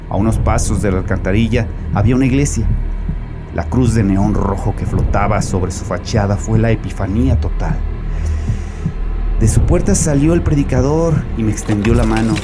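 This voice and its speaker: Low-voiced, haunted ex-convict. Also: male voice, background noise. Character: haunted, penitent male ex-convict confessing from a bunker. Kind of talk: dramatic first-person horror monologue.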